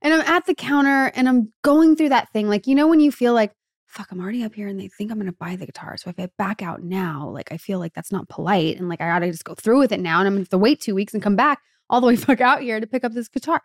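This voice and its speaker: An American woman chatting casually as a podcast co-host, telling stories in an animated way full of "like" and quoted dialogue. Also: mid-range voice, quick pace, no room sound, studio quality. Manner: animated storyteller, peppers talk with "like" and quoted dialogue. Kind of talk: casual anecdotal chat.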